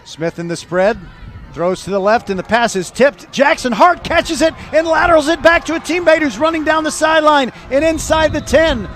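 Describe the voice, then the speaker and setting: A man calling live high school football play by play, describing each play in detail. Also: moderate pace, fairly bright tone. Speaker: play-by-play sports announcer.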